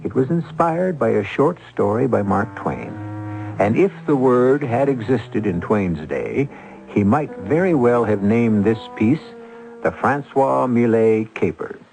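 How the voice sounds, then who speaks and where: A man hosting a radio drama with scripted theatrical narration, urbane and wry, in measured phrases. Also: mid-range voice, animated, creaky, a little mellow, soft background music, poor audio.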